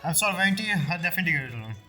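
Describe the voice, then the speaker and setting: Casting: female gameplay commentator, bright forward tone, loose casual excitable asides, light game music underneath. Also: on the low side, racing. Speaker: streamer playing a game.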